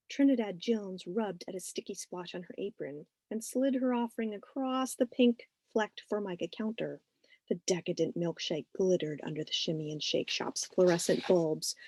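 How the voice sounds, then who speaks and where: Husky-edged woman narrating a story, dry sound. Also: medium pitch, warm tone, no echo. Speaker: author reading own novel. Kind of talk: expressive scripted story narration.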